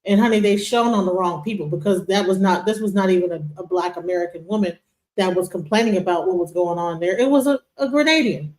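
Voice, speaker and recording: A woman's voice: on the low side, quick pace, a little mellow, reverberant, rough recording. A woman giving opinionated commentary in a video clip, full of incredulous rhetorical questions.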